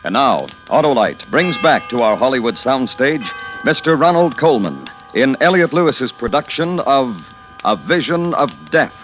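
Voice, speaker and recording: Man, 1940s radio announcer, formal, dramatic, forceful bright tone, music underneath. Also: mid-range voice, lively intonation, moderate pace. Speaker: program announcer. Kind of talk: 1940s radio thriller introduction. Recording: vintage radio broadcast, music behind.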